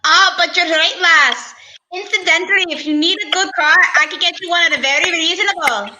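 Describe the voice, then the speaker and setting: A woman playing a garrulous, flattering Irish car salesman with a stage Irish accent in radio comedy. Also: extremely high pitch, brisk, exaggerated voice, low-quality recording. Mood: blustery, chatty. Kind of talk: old-time radio comedy acting.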